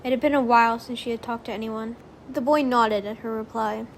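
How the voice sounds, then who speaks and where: A woman's voice: a little high, fairly even intonation, brisk, a little hiss or hum. A woman narrating a story from a script, as in an audiobook of fan fiction.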